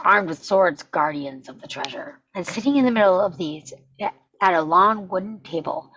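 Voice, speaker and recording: A woman with a slightly husky voice reading scripted stage directions as narration at a table read, in dull sound. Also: on the low side, a bit bright, slight background noise.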